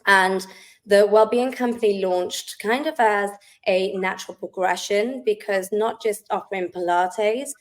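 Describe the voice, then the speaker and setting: A woman's voice: medium pitch, measured pace. A woman from South Wales with a Welsh accent, a guest in a casual interview, talking in a friendly, chatty way with frequent ums. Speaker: interview guest, wellness business founder.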